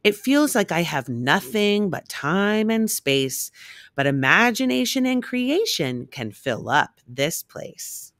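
A woman reading a rhyming children's picture book aloud in an expressive, measured storytelling manner. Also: mid-range voice, dry recording, clean studio sound.

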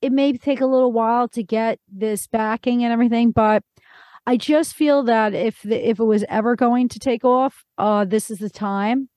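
Higher-voiced woman, encouraging podcast host. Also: fairly even intonation, moderate pace, a little mellow, no echo. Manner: encouraging, informal, many hedges. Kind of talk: casual interview chat.

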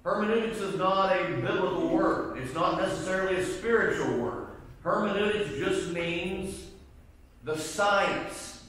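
A man's voice: high voice, unhurried, fairly bright tone. A middle-aged man teaching a Bible class in a church sanctuary, emphatic and often putting questions to the class. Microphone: far camera at the back of the room. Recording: echoey room from far off.

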